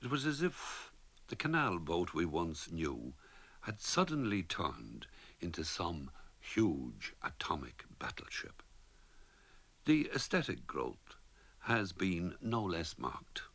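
A man's voice: sits low, huge pitch swings, slow, creaky, fairly bright tone, slightly rough, dry recording. A man reading a wry, literary reflection. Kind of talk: read reflective essay.

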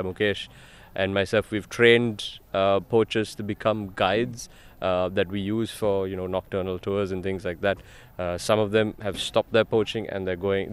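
Sri lankan guy being interviewed, low smooth voice, just explaining stuff conversationally. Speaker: ecotourism company representative, interview guest.

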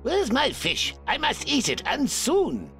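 A man's voice: huge pitch swings, slow, creaky, a bit bright, soft background music, full character voice. A man voicing a greedy, demanding old sage in a rhyming storybook tale. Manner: shrill, fussy.

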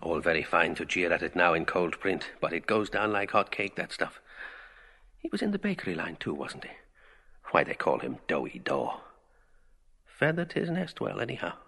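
A wry, mild man thinking aloud as played by a male reader in an audiobook. His low, creaky voice comes in clipped fragments, washed in long reverb. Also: sing-song, moderate pace, bright, forceful tone, heavily acted. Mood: wry musing. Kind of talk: literary audiobook interior monologue.

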